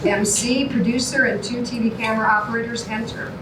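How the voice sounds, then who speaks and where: A woman's voice: fairly high pitch, steady tone, fairly quick. A woman giving scripted narration, reading out stage directions at a staged reading. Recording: echoey room, distant, very noisy.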